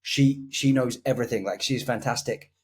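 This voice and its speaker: A man with a deep voice telling a self-deprecating anecdote in casual podcast chat. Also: normal speed, breathy edge. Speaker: podcast co-host.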